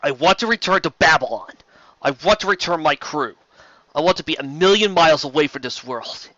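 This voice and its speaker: A man reads as an embittered war captain in captivity, an audiobook hero who is sardonic and defiant. The voice is bright and forceful, with a dry, slightly dull recording. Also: fairly high pitch, dry recording. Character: bitter, defiant male war captain held prisoner.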